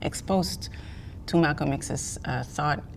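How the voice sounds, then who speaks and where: A woman's voice: slow, creaky. A woman in her forties from Belgium, speaking reflectively as an interview guest. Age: 40s. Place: seated in empty theatre auditorium. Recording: faint background rumble.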